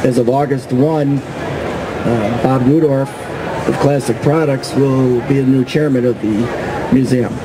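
A man's voice: mid-range voice, fairly even intonation, a little slow. Middle-aged guy rambling in an interview at a trade show booth, noisy hall with hum behind. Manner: chatty, rambling with restarts. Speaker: magazine publisher, interview guest. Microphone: table stand mic.

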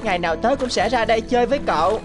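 A woman's voice: fairly high pitch, expressive, very fast, over music, close to an everyday voice. A woman voicing a kind, humble young orphan boy in a cartoon, polite and earnest. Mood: tender, cheerful.

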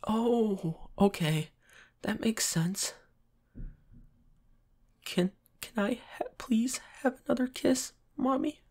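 A man playing a shy, flustered, submissive young pop star boyfriend in a roleplay audio, with soft breathy, stammering, hesitant speech. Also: very high voice, animated, slow pace, long pauses, exaggerated voice. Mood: bashful, affectionate. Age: young adult. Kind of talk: roleplay ASMR audio acting.

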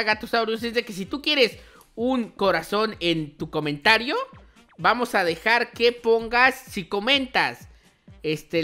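Bright-voiced young man hosting game play. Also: high voice. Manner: chatty, playful, addressing viewers directly. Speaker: host of a gaming channel. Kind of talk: casual gaming commentary with roleplay. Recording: music behind the voice. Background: music.

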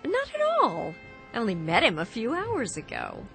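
High-pitched, distraught woman traveler. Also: huge pitch swings, normal speed, vocal fry, a bit bright, exaggerated voice. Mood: defensive, pleading. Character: distraught, pleading young woman traveler, defiant under suspicion. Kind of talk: radio drama acting. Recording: music bed under radio drama.

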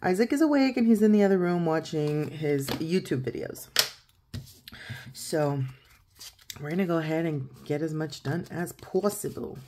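Woman, 30s, lowish croaky morning voice, chatty, counts aloud while filming at a home desk; faint noise under the voice. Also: moderate pace. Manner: chatty, counts out loud, laughs easily. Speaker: savings challenge vlogger. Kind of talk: casual chat while counting cash.

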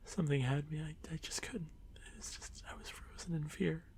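A man voicing a shy, haunted drider monster boy in an ASMR fantasy roleplay, stammering softly and often slipping into breathy whispers. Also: a little high, a little fast, natural voice. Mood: tearful, ashamed. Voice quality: soft, breathy, creaky.

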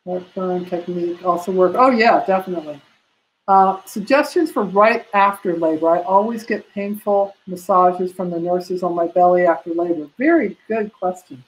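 Lowish older woman teaching by webcam. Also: normal speed, poor audio. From United States. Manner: warm, practical, chatty teaching with many fillers. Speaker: prenatal massage instructor. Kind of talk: live online class answering questions. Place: home room. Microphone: laptop webcam on a video call.

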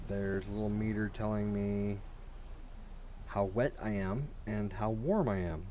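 A man's voice: lowish voice, fairly even intonation, unhurried, warm tone, a little hiss or hum. A man giving casual, blunt and sweary commentary while he plays and reviews a video game. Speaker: gamer reviewing a game mod.

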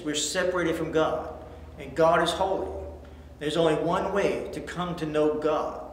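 Earnest man teaching in echoey hall. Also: fairly high pitch. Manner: earnest, reading scripture aloud and asking questions. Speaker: Bible lesson teacher. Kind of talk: children's Bible lesson read at a lectern. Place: church studio set with backdrop, indoors. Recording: echoey hall, faint hiss.

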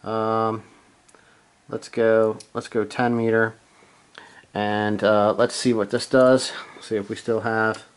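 A man giving a casual, hands-on gear demonstration, halting and unscripted as he thinks aloud from behind the camera in a room at home. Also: on the low side, level tone, smooth. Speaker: amateur radio hobbyist, presenter. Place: small room at home, indoors.

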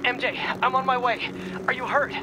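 A man's voice: very high voice, flat delivery, normal speed, background noise. An actor playing an earnest, protective young male superhero in a dramatic video game. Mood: urgent, worried.

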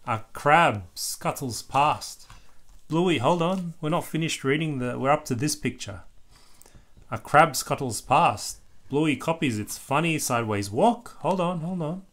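A man's voice: on the high side, lively intonation, a little slow, fairly bright tone. A man reading a picture book aloud to a small child, explaining the pictures and asking questions in casual asides.

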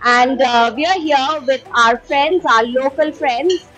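A woman's voice: sits high, slow, fairly bright tone, faint music behind. A woman hosting a casual vlog from a busy restaurant, chatty and full of "uh" fillers. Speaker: vlog host.

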